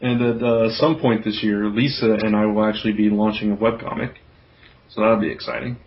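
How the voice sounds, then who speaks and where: A man's voice: on the low side, steady tone, fairly quick, slight background noise. A man hosting a podcast, talking informally in a casual group chat. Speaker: podcast host. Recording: dull, lo-fi podcast audio.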